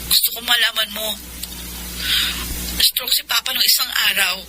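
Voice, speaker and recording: An actress plays an earnest, reluctant stepdaughter in a Filipino radio drama, pleading for her father. Her female voice is high, with clear noise behind it. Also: fairly even intonation, moderate pace, close to an everyday voice. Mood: reluctant, grave.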